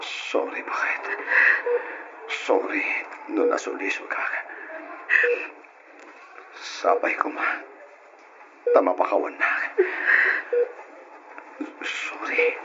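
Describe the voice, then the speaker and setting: An actor with an Ilocano accent playing an unfaithful, remorseful husband in an old radio drama. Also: male voice, sits low, muffled recording, noisy background. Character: unfaithful husband Freddy, remorseful after motorcycle crash.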